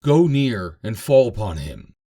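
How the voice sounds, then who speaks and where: A man's voice: on the low side, big pitch swings, unhurried, mellow, full character voice. A man voicing a stern, emphatic ancient Hebrew king in a scripture reading. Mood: stern condemning.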